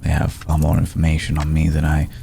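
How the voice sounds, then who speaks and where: A man playing an ancient, aristocratic male vampire in an ASMR roleplay, formal, courteous and brooding, with a soft, gravelly voice and deliberate pauses. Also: rumbling low, completely flat delivery, a little hiss or hum. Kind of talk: ASMR roleplay, slow intimate acting.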